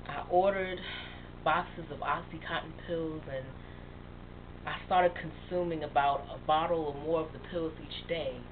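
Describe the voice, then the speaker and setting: Earnest young woman confessing to a friend, lowish voice, tinny lo-fi recording, played by an actress. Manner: earnest, emotional, halting confession. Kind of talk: dramatic monologue. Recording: tinny phone-like sound with faint hiss.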